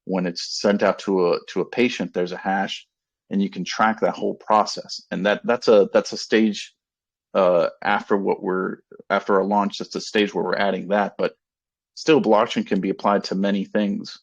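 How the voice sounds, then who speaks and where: A man in his thirties with a low voice and a heavy creak in it. He speaks as an executive updating investors informally, in a halting, rambling way, often circling back to rephrase. The sound is dull and lo-fi, as on a conference call. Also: little pitch movement. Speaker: company executive. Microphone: conference call line.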